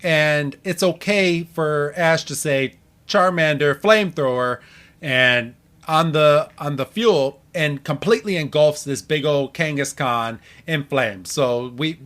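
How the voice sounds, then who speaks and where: A man's voice: fairly high pitch, slow, fairly bright tone. A man on a podcast panel, casually recapping and joking along. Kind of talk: casual episode recap discussion.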